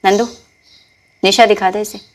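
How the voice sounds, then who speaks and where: A woman's voice: mid-range voice, steady tone, unhurried, close to an everyday voice. An actress playing a persuasive brothel madam in a TV crime drama, coaxing and pushing her offer. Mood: brisk, selling. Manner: coaxing, sales-minded.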